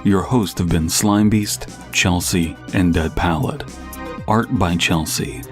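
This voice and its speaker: A man's voice: low-pitched, slow, music in the background. A man reading scripted podcast credits and a mock advertisement as an announcer.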